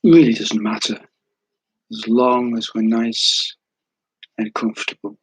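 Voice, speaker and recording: Meditation guide, male. Soothing, breathy delivery with very little pitch movement, gentle pauses, intimate dry recording. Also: no room sound, low-quality recording. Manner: calm, unhurried, soothing, with long pauses. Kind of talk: guided meditation, slow spoken instruction.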